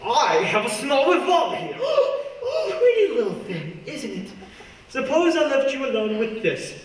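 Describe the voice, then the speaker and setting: Genteel, menacing professor villain played by a man, high-pitched and swooping, theatrically regretful radio play delivery. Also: big pitch swings, a little slow, exaggerated voice. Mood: silkily menacing. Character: polite, menacing villain professor posing as respectable citizen. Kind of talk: stage-like radio play acting. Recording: distant, very echoey, noisy room.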